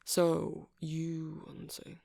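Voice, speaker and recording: Man playing a creaky, soft-spoken nerdy vampire shopkeeper. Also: fairly high pitch, completely flat delivery, extremely slow, no echo, studio quality, exaggerated voice. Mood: helpful, hesitant. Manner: hesitant, rambling, filler-heavy, soft-spoken. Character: nerdy, friendly vampire music shop keeper, rambling and hesitant. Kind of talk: ASMR roleplay, casual rambling monologue.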